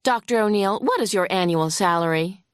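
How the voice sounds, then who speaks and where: A woman's voice: mid-range voice, very animated, moderate pace, no echo, studio quality, lightly acted. A woman voicing a huffy, sarcastic female lawyer cross-examining a witness in an audiobook. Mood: huffy, badgering.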